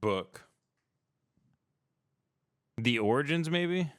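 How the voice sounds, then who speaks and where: A man's voice: medium pitch, animated, extremely slow, a bit bright, no room sound, clean studio sound. A man hosting a casual podcast, talking in irreverent, needling banter with plenty of swearing. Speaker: podcast host. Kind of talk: casual chat.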